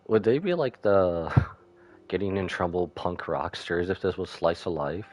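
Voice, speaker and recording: A man's voice: low voice, lively intonation, unhurried, a little mellow. A man giving casual, joking live commentary as he streams a video game. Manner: joking, offhand. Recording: faint game music behind.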